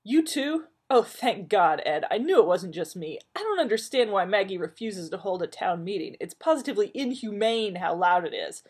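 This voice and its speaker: A woman's voice: medium pitch, big pitch swings, moderate pace, hardly any pauses, a little mellow, breathy edge, voice pushed up. A woman voicing a neurotic, irritable male doctor who complains in an exasperated, whiny way. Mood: relieved.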